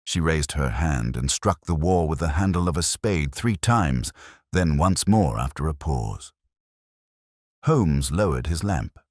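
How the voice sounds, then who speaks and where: A man narrating a scripted mystery story as an audiobook, in a measured, literary and solemn manner. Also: rumbling low, expressive, no room sound, clean studio sound.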